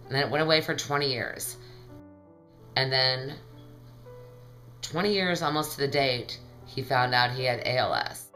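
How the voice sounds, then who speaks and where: A woman in her fifties speaks in a measured, sober documentary interview. Her voice is unusually deep for a woman, with faint music under it. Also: bright, forceful tone, poor audio. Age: 50s.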